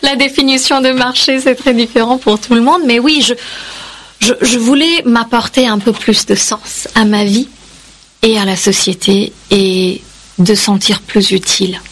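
A woman's voice: on the high side, steady tone, a little slow, a bit bright. A woman from India raised in Yemen, a native Kannada speaker, answering interview questions as a singer, reflective and hesitant with fillers. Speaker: singer, guest.

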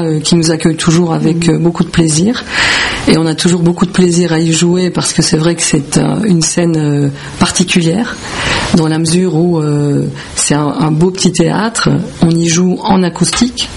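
A woman from Belgium with a creaky voice, answering as a musician in a radio interview in halting, repetitive phrases. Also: deep voice, darkish tone, slight background noise. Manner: hesitant, halting phrasing with repeated words. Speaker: musician, founding member of a band.

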